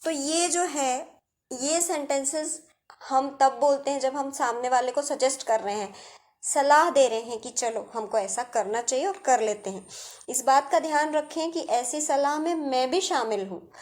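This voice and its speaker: Woman, Indian accent, somewhat high voice, English grammar teacher, didactic, step-by-step explanation. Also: a little slow, darkish tone. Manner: didactic, addresses students directly, explains step by step.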